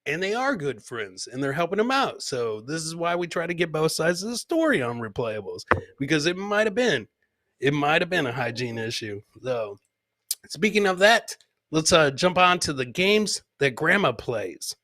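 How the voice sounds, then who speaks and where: Higher-voiced man hosting a comedy show. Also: big pitch swings, normal speed, pushed and bright. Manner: repeats phrases for comic emphasis. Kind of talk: casual live commentary on funny clips.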